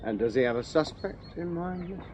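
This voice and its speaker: A man's voice: fairly high pitch, animated, moderate pace, a little mellow, a little hiss or hum, close to an everyday voice. A man playing a shrewd Victorian police sergeant. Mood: courteous. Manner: dry, wry questioning. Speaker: detective sergeant. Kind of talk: radio drama acting.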